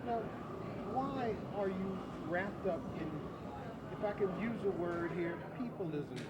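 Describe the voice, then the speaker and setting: A man's voice: sits high, lively intonation, slow pace, lo-fi sound, heavy background noise. A male interviewer asking long, winding questions outdoors. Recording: loud crowd outdoors.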